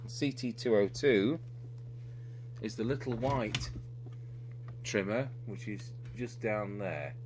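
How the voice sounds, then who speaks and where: Low-voiced man, hummy noisy recording. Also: slow pace, pauses often. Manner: methodical, thinking aloud as he works. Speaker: electronics repair demonstrator, presenter. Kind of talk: unscripted hands-on technical walkthrough. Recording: clearly noisy recording with electrical hum. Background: steady hum and noise.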